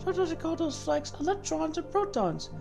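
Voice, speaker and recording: A woman's voice: extremely high pitch, big pitch swings, a little fast, creaky, music in the background, voice pushed up, full character voice. A woman voicing a fervent, dreamy godlike being in a dramatic reading of game dialogue, with emphatic delivery. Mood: dreamy wonder.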